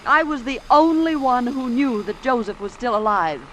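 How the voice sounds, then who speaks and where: A woman's voice: a little high, animated, moderate pace, fairly bright tone, dull audio. An American woman acting an anxious, ambitious secretary in an old-time radio suspense drama, with an earnest, melodramatic delivery. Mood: bitter. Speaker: actress playing the secretary. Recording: vintage radio drama, faint background noise.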